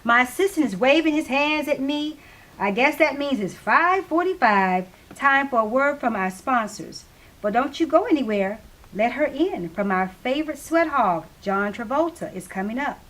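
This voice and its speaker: Woman as higher-voiced chatty radio newscaster. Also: a bit bright. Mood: breezy. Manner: chatty, colloquial, emphatic. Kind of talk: radio announcing in a stage play reading.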